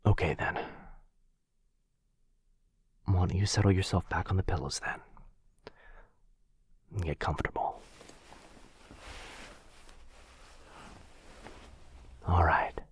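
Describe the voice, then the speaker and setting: A man voicing a gentle, protective vampire in an ASMR roleplay, soft, breathy and husky, soothing in a hushed, halting way. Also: deep voice. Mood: hypnotic, soothing. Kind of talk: boyfriend ASMR roleplay audio drama.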